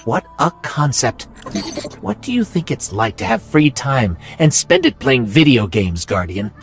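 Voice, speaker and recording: A man's voice: mid-range voice, wildly swooping pitch, a little slow, faint music behind. An actor playing an earnest, curious male robot companion in a video game, thoughtful and polite.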